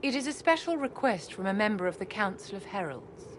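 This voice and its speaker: An actress voicing a haughty, secretive court enchantress in a video game, poised and condescending. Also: female voice, mid-range voice, sing-song, a little fast, a little hiss or hum, close to an everyday voice. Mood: imperious. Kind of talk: video game cutscene dialogue.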